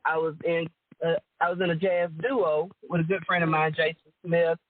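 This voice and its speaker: A woman with a deep alto voice, chatting casually as a guest and laughing often. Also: little pitch movement, moderate pace. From Rome, Georgia, US. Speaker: guest singer and entertainer. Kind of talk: casual chat. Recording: dry phone-line call audio.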